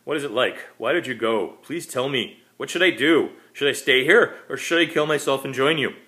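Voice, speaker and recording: Man reading as an earnest, deliberate grieving male client. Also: medium pitch, sharp tone, pitched above the performer's own voice, close to an everyday voice. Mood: anguished, pleading. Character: male séance client, earnest, grieving cop with carefully neutral speech. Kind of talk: short story character reading.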